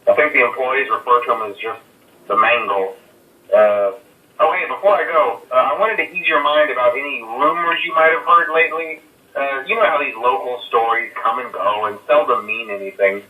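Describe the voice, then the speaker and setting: A man's voice: medium pitch, moderate pace, far from the mic, a little hiss or hum, natural voice. A man playing a rambling phone-message guy. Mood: easygoing. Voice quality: hesitant with many "uh" fillers. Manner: casual, rambling, reassuring. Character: nervous male night-guard phone caller. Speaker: phone caller. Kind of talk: recorded game voice lines. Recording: muffled echoey phone-message recording.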